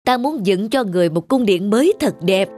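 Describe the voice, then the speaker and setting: A woman's voice: medium pitch, expressive, a little fast, mellow, natural voice. A woman voicing a stern, regal male emperor in a cartoon folk tale, speaking formally and imperiously. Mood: commanding. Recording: music behind the voice.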